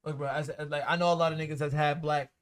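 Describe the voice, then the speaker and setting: A man co-hosting a laid-back podcast, talking in a blunt, emphatic way full of profane street slang. His voice sits a touch higher than most men's. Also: little pitch movement, fast, clear, resonant tone. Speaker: podcast co-host. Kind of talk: casual chat about rap and culture.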